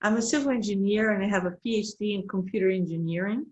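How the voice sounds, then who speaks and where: A Brazilian-accented woman in her fifties presents to an online audience as a professor, speaking English as a second language. She pauses often, filling gaps with "uh" and restarting phrases as she reaches for the right word, at a mid pitch typical for a woman. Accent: Brazilian Portuguese. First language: Portuguese. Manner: hesitant, many fillers, searching for words.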